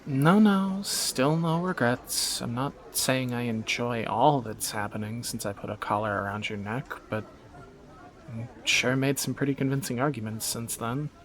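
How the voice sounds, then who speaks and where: A man's voice: medium pitch, measured pace, a bit bright, natural voice. A man acting a wry, bantering young thief. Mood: wry, teasing. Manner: teasing, sardonic, conversational banter. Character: young male thief, bantering, wry, anxious but affectionate captor. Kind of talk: scripted romantic audio roleplay, spoken to the listener.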